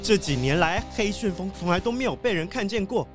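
An earnest wolf sheriff played by a man, high for a male voice and full of bouncy intonation. He explains things politely in a Taiwanese Mandarin accent, as in a children's radio drama with music clearly underneath. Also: lively intonation, brisk. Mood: urgent, determined. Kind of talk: children's detective radio play acting.

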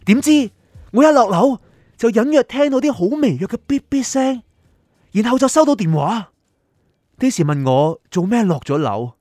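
A man's voice: very high voice, animated, moderate pace, warm tone, no room sound, clean studio sound. A man with a Hong Kong accent playing an exasperated, henpecked boyfriend, telling his love woes in a colloquial, self-mocking radio drama style. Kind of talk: dramatised first-person comic storytelling.

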